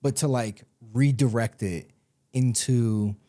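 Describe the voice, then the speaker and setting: A man's voice: medium pitch, slow pace, mellow. A young man co-hosting a podcast, talking through ideas in a thoughtful, casual way. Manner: thoughtful, reflective, with fillers and restarts. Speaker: podcast co-host. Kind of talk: casual chat.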